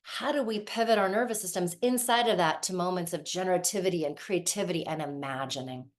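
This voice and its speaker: A woman with a low, grounded voice leads a reflective workshop on the body and healing. She is in her forties, from Lebanon and raised in the United States, and she speaks thoughtfully, often pausing to check in with "right?". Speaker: somatic movement practitioner leading a workshop.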